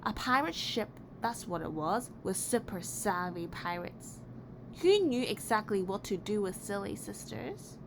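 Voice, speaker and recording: A woman reading a children's picture book aloud in an expressive, deliberate storytelling way. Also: medium pitch. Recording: clearly noisy background.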